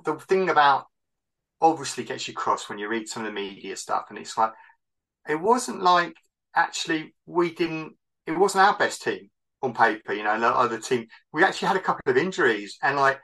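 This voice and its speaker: A man in casual football chat, talking in an animated, argumentative way. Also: medium pitch, moderate pace, poor audio.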